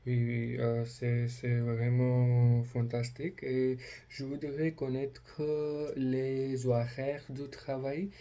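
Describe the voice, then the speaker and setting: A man with a non-native accent practising a polite role-play inquiry as a language learner, speaking haltingly with many hesitations. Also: medium pitch, completely flat delivery, dark tone, muffled recording. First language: not French.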